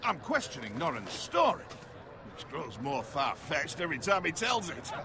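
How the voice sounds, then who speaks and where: High, sardonic soldier. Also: male voice, very animated, moderate pace, a crowd in the background. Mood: needling. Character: sceptical, needling medieval soldier, hearty and rough. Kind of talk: video game fantasy acting.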